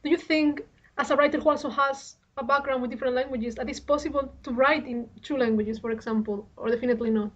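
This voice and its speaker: A woman's voice: high voice, steady tone, fast. A woman from Spain interviewing a writer on a radio show, asking curious questions. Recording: muffled radio studio audio.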